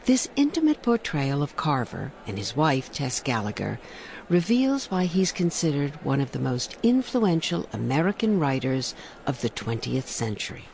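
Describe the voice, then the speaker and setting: Casting: announcer for audio theatre intros, gravelly edge, animated phrasing, polished formal read, slight background ambience. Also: mid-range voice, moderate pace, a little hiss or hum, rough recording.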